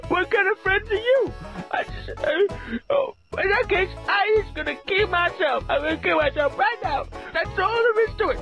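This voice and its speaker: Whiny talking pitchfork, very high and swooping, self-pitying, dim, game cartoon. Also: male voice, expressive, moderate pace, gravelly, sharp tone, soft background music, exaggerated voice. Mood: sulky. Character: whiny, put-upon, dim male pitchfork.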